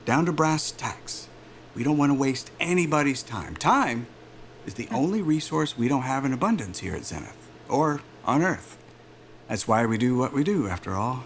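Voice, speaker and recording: Actor as a glib, animated corporate recruiter. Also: male voice, medium pitch, moderate pace, slight background noise, close to an everyday voice. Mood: brisk, polished. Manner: chatty, polished, salesman-like. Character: smooth, glib male aerospace executive recruiter, chummy and persuasive. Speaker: interviewer. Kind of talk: cinematic audio drama acting.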